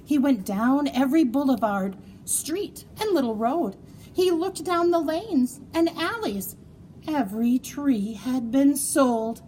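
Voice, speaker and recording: Female children's story reader, highly varied intonation, slightly slow, expressive and playful, faint noise behind. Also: low-quality recording. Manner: expressive, playful, dramatic emphasis and drawn-out words. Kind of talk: animated children's story read-aloud.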